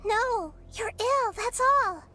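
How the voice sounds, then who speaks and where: A woman voicing a small, gentle and wise young girl deity in a video game, earnest and reflective. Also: very high voice, expressive, slow, loud music behind the voice, heavily acted. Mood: concerned, calm. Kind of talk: scripted video game acting.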